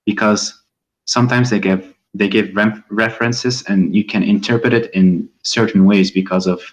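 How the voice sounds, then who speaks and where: Deep-voiced hesitant Moroccan man. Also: steady tone, normal speed, muffled recording. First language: Amazigh (Berber). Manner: hesitant, searching for words. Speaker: caller. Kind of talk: casual call-in conversation.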